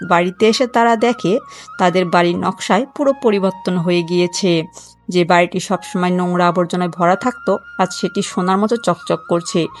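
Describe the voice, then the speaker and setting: A woman's voice: on the low side, fairly even intonation, moderate pace, soft background music. A woman narrator reading a scripted moral story for a cartoon.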